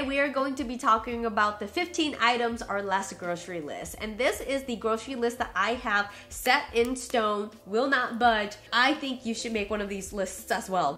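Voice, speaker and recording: A woman's voice: on the high side, sharp tone. Stuffed-up, nasal woman vlogger. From USA. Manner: chatty, rambling, upbeat. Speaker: YouTuber. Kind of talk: casual vlog talk to camera.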